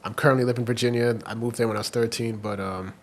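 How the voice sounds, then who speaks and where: A young man from Washington, D.C., a guest on a podcast, telling his story in relaxed, rambling chat with plenty of fillers. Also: medium pitch, racing, slight background noise. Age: young adult. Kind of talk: casual interview chat.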